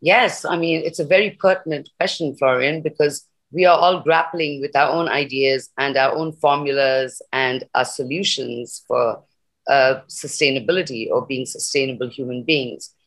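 A woman's voice: a bass voice, moderate pace. A middle-aged woman from Nepal, raised in India, speaking earnestly and passionately as a guest on a video call. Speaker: guest, sustainability activist. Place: room at home. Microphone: laptop webcam on a video call.

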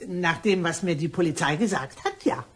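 A woman's voice: lowish voice, normal speed, slightly rough. A woman playing a gossipy, blithely indiscreet cleaning woman in a German crime radio play, telling her tales in a chatty, dramatic way. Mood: self-important.

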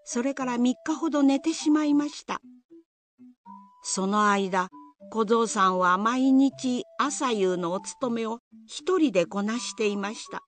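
A woman's voice: fairly high pitch, a little slow. A woman narrator reading a scripted Japanese folktale in the style of a radio drama. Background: music.

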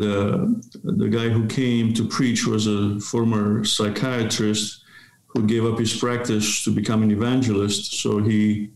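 A man, telling his life story in measured phrases on a video call. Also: lowish voice, creaky, a little mellow. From Romania. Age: 50s. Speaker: guest, seminary professor and pastor. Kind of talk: autobiographical interview answer. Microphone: webcam video call. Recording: roomy, echoing video-call sound.

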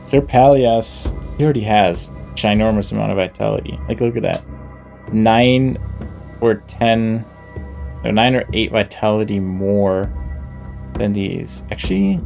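A man's voice: lowish voice, unhurried, gravelly, a little mellow. A man doing casual gameplay commentary, thinking his choices through aloud in a deliberative way. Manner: deliberative, musing to himself. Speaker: video game let's play host. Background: faint game music.

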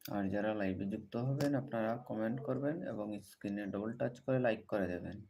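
A man's voice: on the low side, fast talker, crowd noise. A man hosting a livestream, greeting viewers and repeating set-phrase appeals to them. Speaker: livestream host. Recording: noticeable background noise.

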